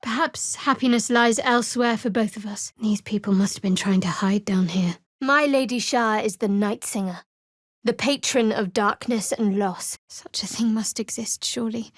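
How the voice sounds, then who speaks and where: An actress playing a guarded, sardonic young female cleric of a dark goddess in a video game, speaking in a dry, measured, wry way. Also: medium pitch, no room sound, close to an everyday voice. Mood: hushed, uneasy. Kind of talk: video game character dialogue.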